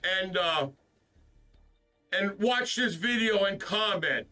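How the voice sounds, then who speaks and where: A man's voice: sits high, animated, takes it slowly, bright, forceful tone, distant mic, soft background music. A man hosting a gaming stream, ranting in a frustrated, profane way, his voice stuffed up from the flu. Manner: frustrated, profane, repeats himself. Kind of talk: casual ranting stream talk.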